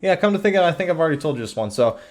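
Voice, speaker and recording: A man's voice: a little high, lively intonation, very fast, darkish tone, faint music behind. A man telling personal stories casually to his online viewers, digressing, hesitating and circling back as he goes. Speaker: YouTube storyteller. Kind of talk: casual rambling personal storytelling.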